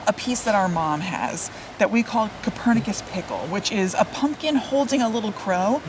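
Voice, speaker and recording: Female co-host, medium pitch, playful and agreeable casual chat with slang, recorded outside with clear street noise. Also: expressive, moderate pace. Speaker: podcast co-host. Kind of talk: casual chat while sightseeing. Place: outdoors on location near traffic. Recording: noisy outdoor recording with traffic.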